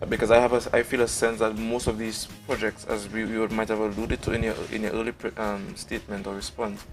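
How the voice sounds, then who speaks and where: A man's voice: fairly low pitch, flat delivery, a little fast, faint music behind. A man hosting a morning talk show, asking a long question with many fillers and restarts. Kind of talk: political talk show discussion.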